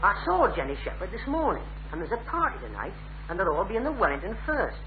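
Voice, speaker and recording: An actor playing a cajoling, cheeky young working-class schemer with a North East English accent in an old radio sitcom. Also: male voice, sits high, wildly swooping pitch, quick pace. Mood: pleading, insistent. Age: young adult. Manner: persuasive, pestering, chatty. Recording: tinny, hissy old radio recording.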